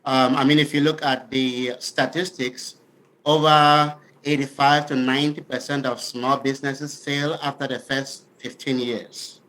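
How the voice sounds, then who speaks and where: A man's voice: mid-range voice, flat delivery, measured pace, pushed and bright, rough recording. A man with a Cameroonian accent explaining things earnestly and instructively as a podcast guest. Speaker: podcast guest, business author. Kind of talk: explanatory interview talk.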